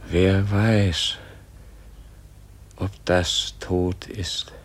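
Dying old holy man, low voice, very slow and halting, gentle, resigned, husky, radio drama. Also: level tone, exaggerated voice. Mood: gentle, wondering. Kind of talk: radio play acting.

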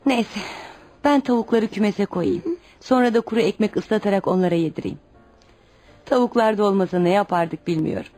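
A woman's voice: medium pitch, moderate pace, dark tone, soft background music, lightly acted. An actress in a radio play playing a poor, devout widowed mother, protective and anxious, who speaks in an earnest, instructive way. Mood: worried, resigned. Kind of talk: radio theater acting.

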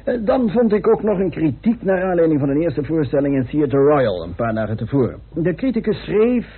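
A man's voice: a little high, very animated, fairly quick, husky. A man acting in a Dutch radio play as an eager young newspaper reporter with a theatrical delivery. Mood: amused, theatrical. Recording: muffled, tinny old radio recording.

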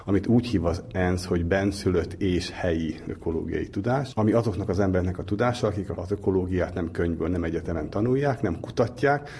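A man's voice: sits low, hardly any pauses, dark tone, breathy edge. A man interviewed as a research scientist, explaining ideas in an expert's radio interview style.

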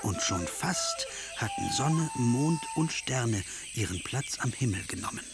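A man's voice: mid-range voice, lively intonation, unhurried. A man narrating a myth for a radio play in a solemn, scripted storytelling delivery. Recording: music behind the voice.

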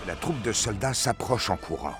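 A man's voice: on the low side, lively intonation, normal speed, a bit bright, soft background music. A man reading scripted narration for a science fiction audio drama.

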